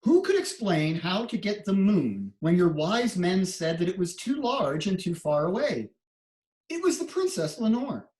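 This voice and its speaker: A woman playing a gentle, clever, playful court jester in a theatrical storybook reading. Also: lowish voice, sing-song, unhurried, reverberant, low-quality recording. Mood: reasoning.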